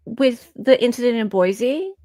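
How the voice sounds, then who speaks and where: Slow-talking Australian woman, blunt commentary. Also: on the high side, expressive, mellow, dry recording, studio quality. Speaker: host. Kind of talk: casual reaction commentary.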